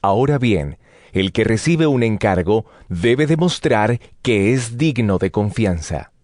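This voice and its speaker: An actor playing a grave male co-writer of an apostolic letter in a dramatized Bible reading, deliberate and solemn. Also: on the low side, lively intonation, darkish tone, dry recording, studio quality. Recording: plucked guitar music behind voice.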